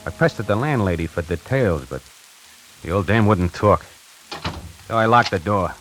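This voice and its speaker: A man's voice: on the low side, lively intonation, moderate pace, fairly bright tone, slight background noise, poor audio. An actor playing a wisecracking necktie salesman who narrates his own story in a 1940s radio drama, hardboiled and slangy. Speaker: narrator and lead character. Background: music.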